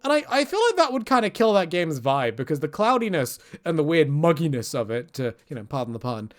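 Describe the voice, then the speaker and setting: Lively, higher-pitched man riffing on games. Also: fairly quick. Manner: rambling, self-deprecating, tongue-in-cheek; swears casually. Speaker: video essayist on games. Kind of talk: casual, joking opinion commentary.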